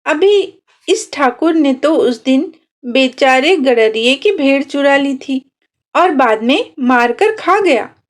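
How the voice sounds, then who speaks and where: Storybook role: downtrodden, defiant village woman played by a female narrator, high-pitched, bitterly questioning, slow-paced. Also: expressive. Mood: bitter indignation. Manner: indignant rhetorical questioning.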